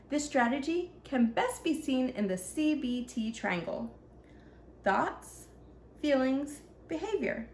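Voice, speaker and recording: Woman reading as an earnest little storybook ninja, echoey room. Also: fairly high pitch. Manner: earnest, instructive, speaking simply to children. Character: young ninja child, earnest storybook narrator teaching positive thinking. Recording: echoey room, faint background noise.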